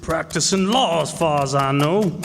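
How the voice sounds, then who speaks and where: Male actor as scheming Western bandit, raised pitch, short-tempered, cowboy phrasing, radio play, long hall reverb. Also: a little slow, vocal fry. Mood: confident, scheming. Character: shifty, hot-tempered male Western outlaw scheming for quick cash. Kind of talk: old-time radio Western acting. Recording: echoey hall, noisy with clicking.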